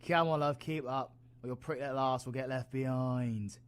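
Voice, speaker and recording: A British man playing a roguish, protective, gruff yet tender supervillain in an audio roleplay, husky and colloquial. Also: medium pitch, fairly even intonation, normal speed, close to an everyday voice. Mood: urgent, commanding. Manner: sweary, teasing, colloquial. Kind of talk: audio roleplay, villain ASMR.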